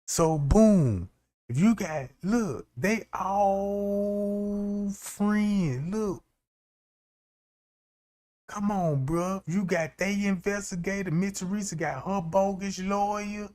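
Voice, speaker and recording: Stream host, male, unhurried and warm-toned, casual rambling commentary. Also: high voice. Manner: loose, slangy and repetitive, often asking "you know what I'm saying". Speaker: livestream host.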